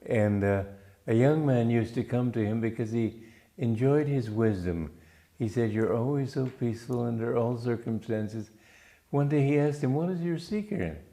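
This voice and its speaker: Calm older man, mellow voice. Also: medium pitch. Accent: mixed English-American. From Romania. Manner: calm, measured, storytelling. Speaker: spiritual teacher. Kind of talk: spiritual talk.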